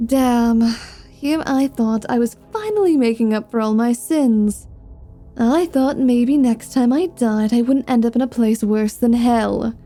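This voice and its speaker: A sardonic, flirty female vampire acted by a woman in a fantasy audio roleplay. Her tone is very mellow and dark, though pitched a little high, and she takes her time. She is clever, impatient and quick to swear. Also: soft background music, lightly acted. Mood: defiant, sardonic. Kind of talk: ASMR fantasy roleplay acting.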